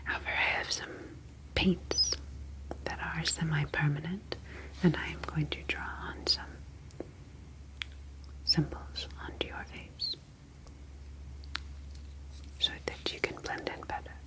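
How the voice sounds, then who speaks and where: A woman playing a gentle, reassuring humanoid ocean alien in a soothing, formally phrased ASMR sci-fi roleplay. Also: low voice, fairly even intonation, slow, pauses often, poor audio. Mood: calm, reassuring.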